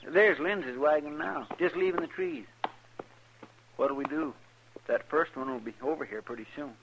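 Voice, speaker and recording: A folksy, anxious western deputy acted by a man with a rural American drawl and a slightly high voice. He is deferential and full of questions, in 1950s radio style. The old recording is dull with faint noise. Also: moderate pace, close to an everyday voice. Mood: earnest, uneasy.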